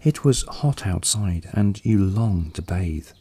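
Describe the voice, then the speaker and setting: A man narrating a scripted guided meditation in a calm, unhurried, soothing way. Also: deep voice, husky. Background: soft background music.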